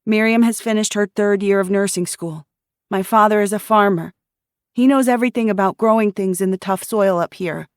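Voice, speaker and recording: A woman's voice: medium pitch, moderate pace, dry recording, studio quality, voice pushed up, close to an everyday voice. A woman narrator voicing a pregnant refugee woman, a schoolteacher who pleads earnestly for shelter and speaks with a faint twang.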